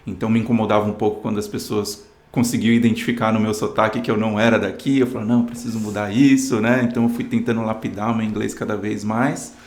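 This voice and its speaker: A low-pitched Brazilian man in his thirties speaks in an earnest, storytelling manner, peppered with "né". His voice carries a noticeable room echo. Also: little pitch movement, fast talker, few pauses, a little mellow. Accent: Brazilian Portuguese. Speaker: guest. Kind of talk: casual interview chat.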